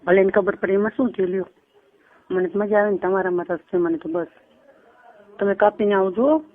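A woman's voice: medium pitch, fairly quick. A woman talks in a casual, private way with a Kathiawari Gujarati accent. Her voice comes through a narrow, tinny phone connection, as on a recorded call.

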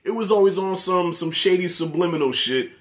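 Profane, animated man with a New York accent. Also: high-pitched, a little fast. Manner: profane, animated, talks with his hands. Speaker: movie reviewer. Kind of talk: casual review rant. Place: living room with posters.

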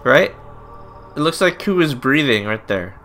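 A man's voice: mid-range voice, sing-song, measured pace, a bit bright, soft background music. A man giving casual, emotional let's play commentary, gushing as he reacts to the game. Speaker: gaming YouTuber.

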